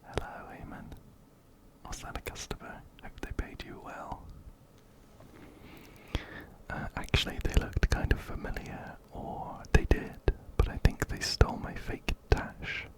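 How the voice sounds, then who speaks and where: A man whispering softly right up close to the microphone in an ASMR game playthrough, unhurried and repeating phrases as he reads dialogue aloud. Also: poor audio. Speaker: video game streamer and ASMR creator. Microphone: close-up binaural microphone, ear to ear.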